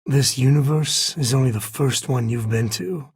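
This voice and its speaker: An actor playing an easygoing, middle-aged space explorer and single dad in a video game, with a husky voice and a folksy, wry way of talking. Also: male voice, medium pitch, moderate pace, a little mellow, no room sound. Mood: earnest, serious. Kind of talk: video game companion dialogue.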